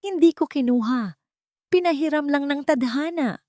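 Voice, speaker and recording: A woman's voice: high-pitched, normal speed, mellow, no echo, studio quality, pitched above the performer's own voice, natural voice. Woman acting a desperate, pleading Filipino younger sister. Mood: defiant.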